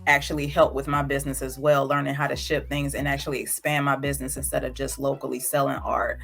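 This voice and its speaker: Relaxed, chatty female artist guest. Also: rumbling low, fast talker, vocal fry, faint music behind. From Georgia, United States. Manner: relaxed, chatty storytelling, casual slang. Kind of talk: casual interview.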